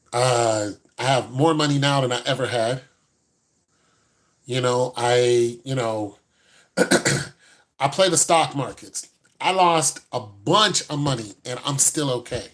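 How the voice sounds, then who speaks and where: A man's voice: medium pitch, a bit bright. A man in his fifties, a host giving blunt, no-nonsense advice to a caller in casual chat. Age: 50s.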